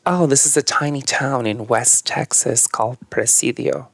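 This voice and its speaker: A young man from northern Mexico, a native Spanish speaker, talks through his story in a relaxed, reflective manner, often pausing on "um" and "like." His voice has a gravelly, fried edge. The sound is dry and close, with no room around it. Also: mid-range voice, dry recording. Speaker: podcast guest, yoga teacher. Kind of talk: casual conversational storytelling.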